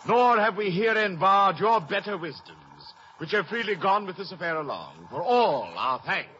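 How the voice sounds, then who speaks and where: Bright, commanding king. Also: high voice, sing-song, normal speed, exaggerated voice. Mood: stately. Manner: stately, formal, declamatory. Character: King Claudius, smooth regal usurper addressing his court. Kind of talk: 1950s radio Shakespeare acting. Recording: muffled, noisy 1950s radio broadcast.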